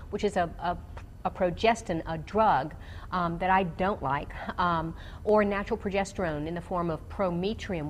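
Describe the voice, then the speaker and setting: A woman doctor giving explanatory, technical medical advice to callers on a radio call-in show, with hesitant pauses. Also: mid-range voice. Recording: clearly noisy background.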